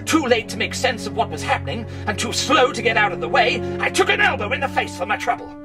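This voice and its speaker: An earnest Victorian gentleman narrating an audio drama. The voice is high for a man and swings widely in pitch, with literary, dramatic phrasing. Clear music runs underneath. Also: lively intonation, quick pace. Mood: urgent, excited. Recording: distant mic, music underneath.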